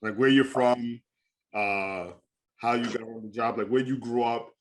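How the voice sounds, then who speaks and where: A man's voice: medium pitch, fairly even intonation, a little slow. A man hosting an interview, asking friendly, encouraging follow-up questions. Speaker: podcast host. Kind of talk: casual interview conversation.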